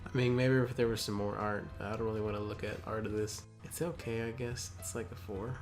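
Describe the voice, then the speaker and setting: A man's voice: fairly low pitch, flat delivery, a little fast. A young man reading aloud and chatting casually, with skeptical, hesitant asides. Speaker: co-host reading a wiki aloud with commentary. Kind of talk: casual chat and read-aloud. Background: faint guitar music.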